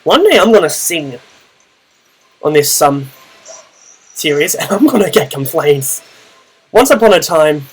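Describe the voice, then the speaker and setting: A young Australian man with a naturally loud voice, casually narrating his own Pokemon battle, thinking aloud with fillers. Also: on the high side, breathy edge, poor audio. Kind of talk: casual play-by-play gaming commentary.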